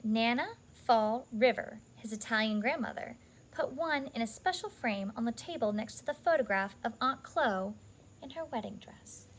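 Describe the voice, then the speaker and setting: Higher-pitched woman reading a children's story. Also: expressive, moderate pace, slight background noise, rough recording. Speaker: childcare educator reading a picture book. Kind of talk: expressive read-aloud storytelling for young children.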